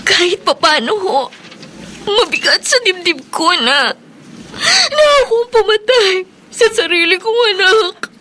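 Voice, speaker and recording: A woman's voice: extremely high pitch, animated, a little slow, pushed and bright, slight background noise, exaggerated voice. A woman playing an anguished, devout young mother in a horror radio drama, melodramatic and breathlessly emotional. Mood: grieving.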